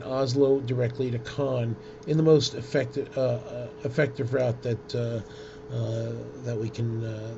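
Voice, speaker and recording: A man presenting an informal software tutorial in a halting, hesitant way, with frequent ums. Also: mid-range voice, creaky. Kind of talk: informal screen-share software demonstration. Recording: dull lo-fi audio with loud noise.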